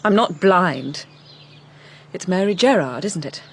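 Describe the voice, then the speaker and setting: Proud young English heiress, lively intonation. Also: female voice, fairly low pitch, a little slow, slight background noise. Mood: hurt, controlled. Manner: well-spoken, controlled, probing. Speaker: actor. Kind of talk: British radio drama acting.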